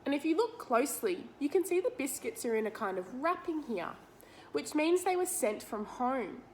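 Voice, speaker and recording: An Australian woman with a slightly high voice reads a picture book aloud to young children. She is expressive and speaks straight to her listeners, explaining things simply. There is faint noise in the recording. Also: normal speed, low-quality recording. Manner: expressive, questioning, addresses young listeners directly.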